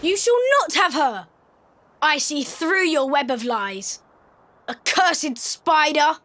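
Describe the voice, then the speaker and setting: Very high-pitched, defiant young boy. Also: lively intonation, takes it slowly, fairly bright tone, heavily acted. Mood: accusing, scornful. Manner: theatrical, declamatory delivery. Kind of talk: dramatic video game cutscene acting.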